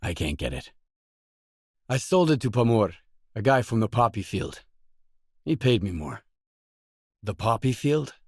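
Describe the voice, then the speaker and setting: An actor playing a terse, guarded mercenary in a video game, speaking scripted lines in a matter-of-fact way. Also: male voice, on the low side, big pitch swings, a little slow, long pauses, breathy edge, no echo, clean studio sound, heavily acted.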